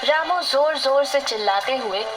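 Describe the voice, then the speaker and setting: A woman reading scripted narration for an animated moral story. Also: high-pitched, a little slow, music in the background, low-quality recording.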